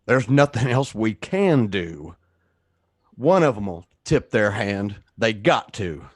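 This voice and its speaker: A man's voice: on the low side, big pitch swings, takes it slowly, breathy edge, no room sound, clean studio sound, heavily acted. A man playing a suspicious, accusing neighbor in a radio play, talking in a folksy, bullying way.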